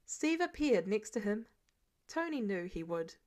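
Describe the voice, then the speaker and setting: A woman reading fiction aloud as a narrator, in an expressive scripted storytelling style. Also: mid-range voice, measured pace.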